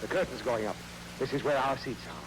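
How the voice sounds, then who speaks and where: A man's voice: medium pitch, expressive, a little fast, natural voice. An actor playing a long-suffering, pompous father in an old radio comedy, exasperated and lecturing. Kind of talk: 1940s radio comedy acting. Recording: crackly old radio recording.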